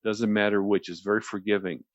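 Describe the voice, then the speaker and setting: A deep-voiced man talks on the radio in a close, dry sound. He explains things conversationally as a host would, rambling a little and often repeating a phrase before moving on. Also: flat delivery, fairly quick, no echo, highs cut off. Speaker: radio show host explaining relaxation technique.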